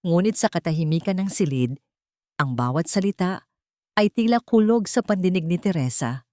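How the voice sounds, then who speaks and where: A woman audiobook narrator reading a scripted dramatic story with solemn, deliberate phrasing. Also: lowish voice, lively intonation, dark tone, no echo, clean studio sound.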